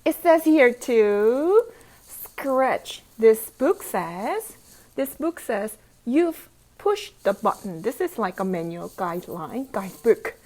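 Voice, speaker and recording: A woman with a high voice reads a picture book aloud as a teacher of young children. Her delivery is slow and swings widely in pitch. She is playful, asks the listeners questions and repeats phrases for them. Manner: animated, playful, with exclamations and sound effects.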